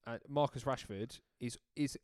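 A man's voice: medium pitch, moderate pace, dry recording. Blunt young man talking football. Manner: blunt, calls everyone mate. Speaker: podcast co-host. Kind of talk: casual football chat.

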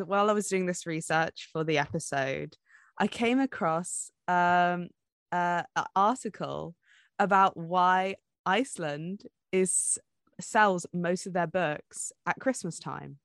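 A woman's voice: fairly low pitch, measured pace, a bit bright, clean tone, no room sound, clean studio sound. A woman podcast co-host chatting casually and informally, telling things in a conversational, storytelling way. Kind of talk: casual book podcast chat.

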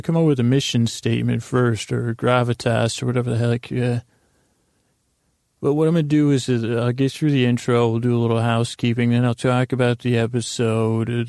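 A man with a creaky voice hosting a bedtime podcast in a meandering, halting monologue that stammers and interrupts itself with gentle humor. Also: mid-range voice, dry recording, clean studio sound. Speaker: podcast host.

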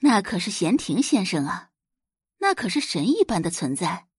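A woman's voice: medium pitch, huge pitch swings, moderate pace, breathy edge, dry recording, clean studio sound. A woman voicing an ambitious, doting mother who exclaims effusively in an audiobook drama. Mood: excited, gushing. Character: gushing, ambitious mother doting on her gifted daughter.

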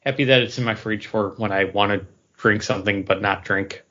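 A man's voice: on the low side, steady tone, fairly quick, bright, forceful tone. Lo-fi podcast man, rambling and conversational. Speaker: podcast co-host. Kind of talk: casual chat, drink review. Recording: dull lo-fi recording.

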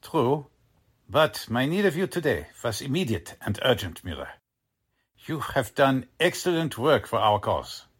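Radio drama role: imperious spymaster, husky edge, highly animated pitch. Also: male voice. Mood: smooth, formal. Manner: imperious, theatrical, deliberate. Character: haughty, scheming German military officer and Nazi spymaster. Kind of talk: 1940s radio drama acting.

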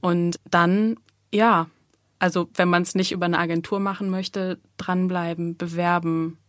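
Casting: female agent, lowish pitch, earnest and emphatic advice, conversational, very little room sound. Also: steady tone, measured pace, no room sound, clean studio sound. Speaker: voice talent agent being interviewed. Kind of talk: conversational podcast interview.

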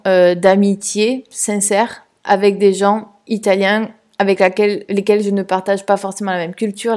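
A woman's voice: mid-range voice, darkish tone. A woman from France talking casually in a personal podcast monologue, hesitant with many fillers and restarts. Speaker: podcast host.

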